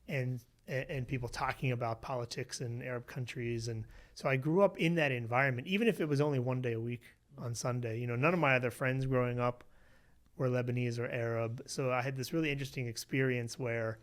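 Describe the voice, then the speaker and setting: A man from Western Pennsylvania, a researcher being interviewed, telling his family story in a relaxed way with many "you know"s. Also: medium pitch. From Western Pennsylvania, USA. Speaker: guest, researcher. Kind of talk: conversational interview.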